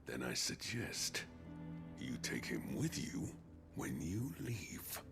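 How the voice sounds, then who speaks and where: An actor playing a surly, hostile village chief and smith in a video game, curt and sneering, with a gravelly voice. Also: male voice, sing-song, slow pace, over music, exaggerated voice. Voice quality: gravelly, very deep. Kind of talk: video game drama acting.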